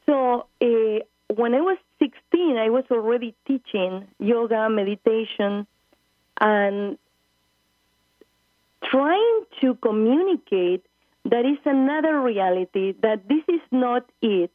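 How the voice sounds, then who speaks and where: A woman's voice: a little high, expressive, slow pace, no echo. A woman in her forties from Argentina with an Argentine Spanish accent, a native Spanish speaker earnestly searching for English words as a guest in a phone-in interview. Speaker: guest, spiritual travel leader. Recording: tinny phone line.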